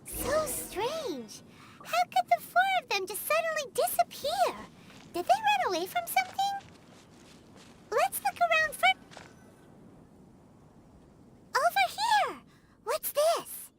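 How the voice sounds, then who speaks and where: A woman voicing a tiny, chirpy female fairy companion in a video game, excitable and inquisitive. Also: very high voice, measured pace, very noisy, heavily acted. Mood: curious. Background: game music.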